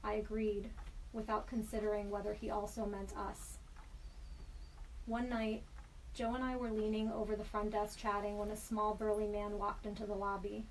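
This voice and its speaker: A woman in a studio reading a personal story aloud in a measured, deliberate way. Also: medium pitch, monotone. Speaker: storyteller guest. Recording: slightly distant mic with faint background hiss.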